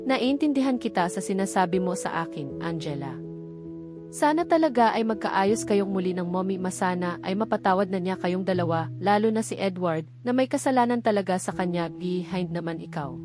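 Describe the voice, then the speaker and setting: A woman's voice: mid-range voice, animated, brisk, natural voice. A woman voicing a supportive, curious young best friend who reassures, in an audiobook story. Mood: reassuring. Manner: reassuring, questioning. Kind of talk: audiobook dialogue. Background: background music.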